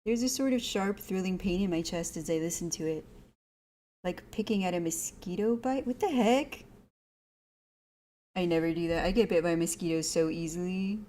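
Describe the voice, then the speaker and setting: A woman's voice: on the low side, normal speed, warm tone, a little hiss or hum. Chatty woman streaming a visual novel. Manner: chatty, informal asides. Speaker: game streamer. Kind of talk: casual livestream commentary mixed with reading visual novel text aloud.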